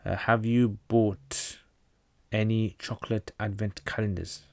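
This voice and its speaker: Low-voiced man from North Pakistan. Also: level tone, measured pace, creaky, dry recording. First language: Pashto. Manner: reads out questions then answers plainly, with frequent restarts. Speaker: channel host answering viewer questions. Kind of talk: casual livestream Q&A.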